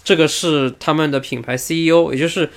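A man's voice: fairly high pitch, steady tone, fairly quick. A man hosting a casual motorsport podcast discussion. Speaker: podcast host.

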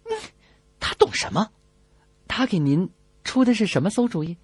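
A man as scornful doctor, dismissive, raspy, lively pitch, radio comedy sketch. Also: normal speed, no room sound, heavily acted.

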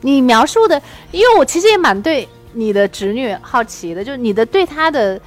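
A woman with a very high voice chats casually as a co-host. Her pitch moves in lively swings and she comes across as curious and expressive, with faint music underneath. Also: brisk. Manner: inquisitive, expressive. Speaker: talk show co-host. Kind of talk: casual chat.

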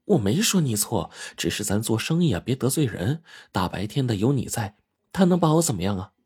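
A man voicing a gentle, polite young woman hairdresser in a told story, soft and pleading in manner. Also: on the high side, lively intonation, fast, breathy edge, pitched above the performer's own voice. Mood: placating.

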